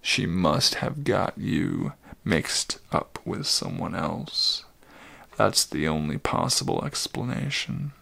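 A man voicing a very old, weary male centaur with a deep, gravelly voice, pleading slowly and heavily in a dramatic storybook reading. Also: very animated, sharp tone, full character voice. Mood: urgent, weary. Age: older.

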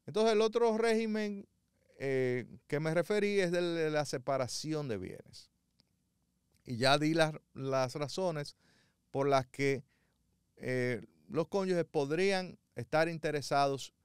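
Male legal commentator, fairly high voice, very clear and crisp, dry close sound, didactic, measured delivery. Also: animated, no room sound. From Dominican Republic. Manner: measured, didactic, with frequent fillers and restarts.

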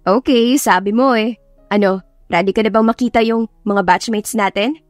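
Woman acting young female best friend, Filipino accent, bubbly, playful, very high pitch, radio drama, music behind. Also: steady tone, moderate pace, no echo, natural voice. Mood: playful teasing. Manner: chirpy, playful, gushing.

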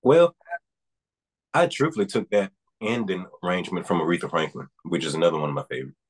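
A young man speaks as a guest on a video call from a room at home. His voice is very low with a husky grain, and he talks earnestly in loose, rambling sentences. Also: fast, low-quality recording. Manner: earnest, rambling with restarts and fillers. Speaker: guest singer. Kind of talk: casual interview. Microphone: video call webcam.

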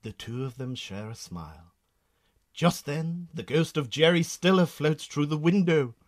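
A man's voice: fairly high pitch, lively intonation, a little slow. A man with a Northern Irish accent narrating a scripted radio drama as a storyteller.

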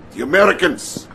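An actor playing a smug, theatrical middle-aged Soviet premier in a game cutscene, with a Russian accent and mock-polite delivery. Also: male voice, bright, forceful tone, slight background noise. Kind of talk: video game cutscene acting.

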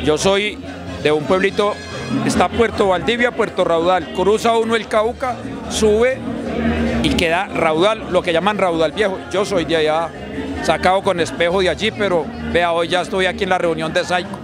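A man's voice: sits high, moderate pace. A middle-aged man from Antioquia, Colombia, with an Antioquian Colombian accent, chatting earnestly about his music career in an outdoor street interview. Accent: Colombian Spanish, Antioquia. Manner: earnest, chatty, with frequent restarts. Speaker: singer and songwriter. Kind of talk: casual interview chat. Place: outdoors at a busy urban gathering. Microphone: handheld mic held by interviewer. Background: crowd chatter and loud music.

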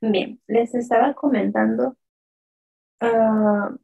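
Slow, gravelly-voiced woman teacher. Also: on the high side, steady tone, dark tone, highs cut off. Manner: slow, drawn-out pronunciation drills; asks the chat questions and praises answers. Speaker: French teacher. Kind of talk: live online lesson.

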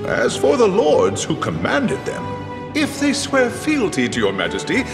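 High-pitched, earnest fairy retainer. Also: male voice, huge pitch swings, measured pace, gravelly, over music, full character voice. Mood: measured, respectful. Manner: formal, respectful. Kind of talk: scripted video game voice acting.

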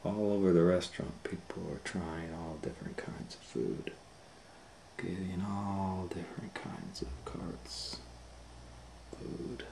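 A middle-aged man reading a picture book aloud to children in a relaxed, chatty way, sometimes dropping to a near whisper, recorded on a close camera mic at home. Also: sits low, slow pace, gravelly, low-quality recording. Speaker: children's storytime reader. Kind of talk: casual read-aloud for kids.

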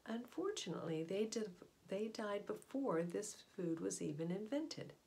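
A middle-aged woman reads a children's nonfiction book aloud with deliberate, expressive emphasis and explanatory asides, recorded indoors on a device at about arm's length. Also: mid-range voice, creaky, low-quality recording. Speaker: read-aloud storyteller. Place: small indoor room at home, in front of wooden doors. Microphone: camera or device mic at about arm's length.

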